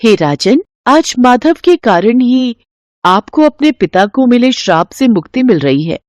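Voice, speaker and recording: A woman's voice: a little high, big pitch swings, measured pace, darkish tone, no echo, pitched above the performer's own voice. A woman voicing a benevolent, solemn goddess who speaks formally in a cartoon moral story. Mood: proclaiming. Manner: formal, declamatory, explanatory. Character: benevolent, solemn goddess revealing an old secret.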